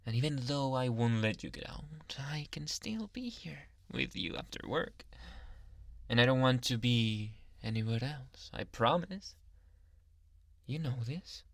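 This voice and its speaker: A man's voice: medium pitch, very animated, measured pace, fairly bright tone, no echo. A man playing a possessive, doting young yandere doctor in an ASMR roleplay, murmuring breathily and slipping in and out of whispers close to the mic. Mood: soothing, doting. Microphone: close microphone.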